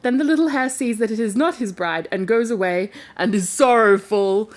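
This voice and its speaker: A woman's voice: fairly high pitch, normal speed. A woman with a playful, giggly manner, hosting a story-reading channel in a casual storytelling style.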